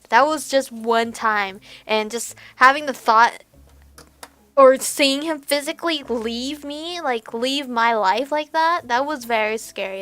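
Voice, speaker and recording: A young woman giving casual, expressive let's play commentary, chatty and reactive. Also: a little high. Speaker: gaming streamer. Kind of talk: casual let's play commentary with read-aloud game text.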